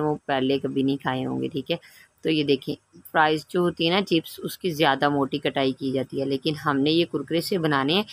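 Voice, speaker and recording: A woman hosts a home cooking tutorial in a casual, explanatory style. She talks the listener through things step by step and keeps checking that they are following along. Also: deep voice. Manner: instructive, repeatedly checking in with the listener. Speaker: home cooking channel host. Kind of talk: casual recipe tutorial narration.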